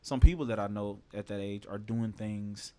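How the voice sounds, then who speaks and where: A man's voice: lowish voice, steady tone, normal speed, a little mellow. A man in his twenties talking casually and candidly, swearing freely and often asking "you know what I mean?". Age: 20s. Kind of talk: casual chat.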